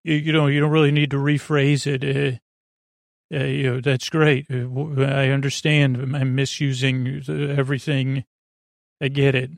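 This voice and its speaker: A man hosting a sleep podcast in a rambling, halting, self-deprecating bedtime monologue full of tangents, with a creaky voice close to the mic. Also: little pitch movement, no echo. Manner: self-deprecating, low-key.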